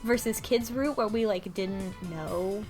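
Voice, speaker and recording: A woman's voice: mid-range voice, unhurried, darkish tone. A woman with a mid-range voice talks casually as a podcast host, recapping a story for the group. She speaks in a loose, conversational style, with faint music playing underneath. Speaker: podcast book club host.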